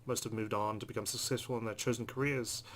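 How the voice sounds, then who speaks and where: A man's voice: mid-range voice, fairly even intonation, fast, a bit bright. Man reading game narration, faint background noise. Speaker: let's play host. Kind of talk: reading game narration aloud, casual commentary.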